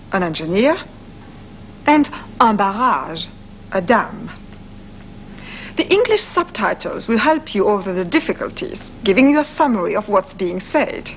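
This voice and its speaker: A woman co-presenting a language course, explaining in a clear, careful way. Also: mid-range voice. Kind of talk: scripted educational narration. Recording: dull, slightly noisy TV soundtrack.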